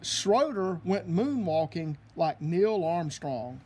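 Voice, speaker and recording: A man's voice: fairly high pitch, very animated, slow, mellow, a little hiss or hum. A man reading a children's storybook aloud as scripted narration. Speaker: storybook reader.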